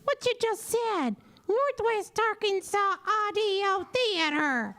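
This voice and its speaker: A woman's voice: very high voice, expressive, slow pace, a bit bright, exaggerated voice. A woman voicing Lola, a curious, interrupting child-like character with eager questions, in live audio theater. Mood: puzzled, insistent. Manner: eager, questioning, short exclamations. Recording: distant echoey room with live audience.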